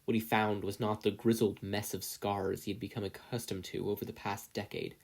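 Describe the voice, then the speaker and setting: A man's voice: fairly low pitch, flat delivery, a little fast, creaky, a little mellow. A man reading scripted fiction aloud as a story narrator. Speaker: podfic reader.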